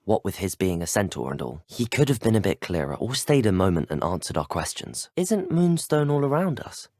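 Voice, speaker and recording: A man's voice: low-pitched, big pitch swings, fairly quick, darkish tone, no echo, studio quality. A man playing a polite, earnest, inquisitive teenage wizard student in a video game, speaking formal, courteous, well-spoken lines. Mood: earnest, questioning. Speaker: player character voice actor. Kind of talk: video game dialogue clips, scripted acting.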